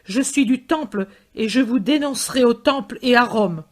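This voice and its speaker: A woman voicing a fearless man who shouts urgent commands while shielding his master from a mob. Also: on the high side, normal speed, lightly acted.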